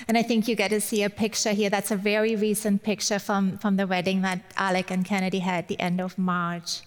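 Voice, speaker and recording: A woman's voice: medium pitch, little pitch movement, fairly quick. A woman in her forties from Germany with a German accent, giving a chatty, encouraging Mother's Day sermon as a guest speaker. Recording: long hall reverb.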